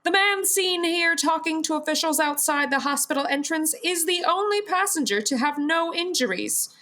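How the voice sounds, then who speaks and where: A woman's voice: very high voice, expressive, normal speed, bright, forceful tone, full character voice. An actress playing a formal female newscaster, reading scripted news in a radio play. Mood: formal, measured.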